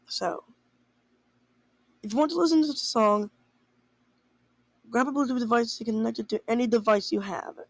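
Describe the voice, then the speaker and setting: Young teen for an amateur announcement, creaky delivery, dry recording. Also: mid-range voice, normal speed, long pauses, no echo.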